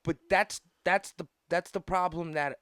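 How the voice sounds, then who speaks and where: A man's voice: high voice, fairly even intonation, measured pace, bright, forceful tone. An American man hosting a podcast, ranting casually about politics in an argumentative, emphatic way with heavy slang and swearing. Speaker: podcast host.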